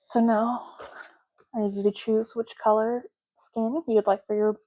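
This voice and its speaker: A soft-spoken woman doing an ASMR roleplay as a clerk, talking casually and matter-of-factly in unhurried short phrases with pauses. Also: fairly high pitch, little pitch movement, low-quality recording. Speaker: ASMR roleplay host, playing an intake clerk making ID cards.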